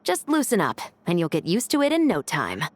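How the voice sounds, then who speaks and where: A woman voicing a stern, steady female officer who speaks tersely and in a level, composed way. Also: on the low side, fairly quick, a little hiss or hum. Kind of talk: video game voice acting.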